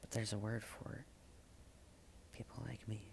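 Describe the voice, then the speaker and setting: A man delivers slam poetry in a low voice that crackles with heavy vocal fry. The delivery is halting and deliberate, fairly flat in pitch, with long pauses and phrases that trail off into a hush. There is some background noise in the recording. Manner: halting, with dramatic pauses and hushed, breathy phrase endings. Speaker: slam poet. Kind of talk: spoken-word slam poetry, slow and repetitive.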